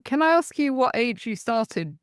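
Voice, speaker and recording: A woman's voice: a little high, big pitch swings, moderate pace, clear, resonant tone, no echo, clean studio sound. A woman hosting a podcast, asking her guest questions with short, encouraging prompts. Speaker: podcast host.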